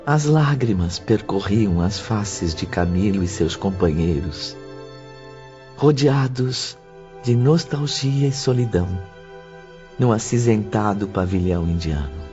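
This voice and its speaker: A man's voice: on the low side, expressive, slow pace, a little mellow, highs cut off, soft background music. A man reading scripted audiobook narration in a solemn, literary manner.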